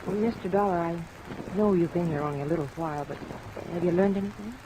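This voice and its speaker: A woman's voice: fairly low pitch, quick pace, natural voice. An actress playing a polite, earnest, helpful young office woman in an old-time radio drama. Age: 20s. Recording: noisy old radio recording, rain overlay.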